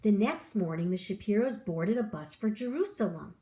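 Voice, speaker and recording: A woman reading a children's picture book aloud, with an expressive storyteller delivery. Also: mid-range voice, moderate pace, slight background noise.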